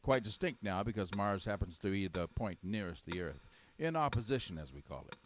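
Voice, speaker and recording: An actor playing a calm, learned astronomy professor in a 1930s-style radio drama, answering in a measured, scholarly, slightly hesitant way. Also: male voice, sits low. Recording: old radio recording with clear noise.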